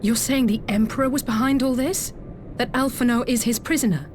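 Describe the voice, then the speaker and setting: A woman's voice: on the high side, a little fast, a little mellow, lightly acted. A woman playing a headstrong, fiercely loyal young sister in a video game, emotive and direct. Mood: alarmed. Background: faint game music.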